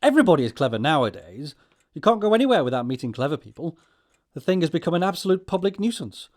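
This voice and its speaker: An actor playing an earnest, easily flustered young upper-class English gentleman with a proper, fussy manner, in a stage comedy audio production. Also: fairly high pitch, sing-song, quick pace. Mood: irritated.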